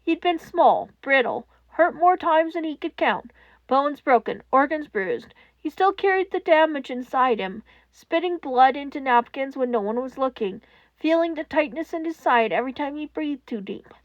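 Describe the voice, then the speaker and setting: A woman narrating scripted fan fiction in a solemn, measured way with dramatic pauses. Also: sits high, lively intonation, dry recording, lo-fi sound.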